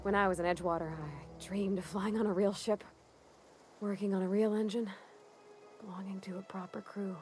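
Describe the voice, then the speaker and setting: A young woman acting an eager, awkward ship mechanic in a video game, with a folksy, halting, earnest manner. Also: mid-range voice, steady tone, lightly acted. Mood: wistful. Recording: music behind the voice.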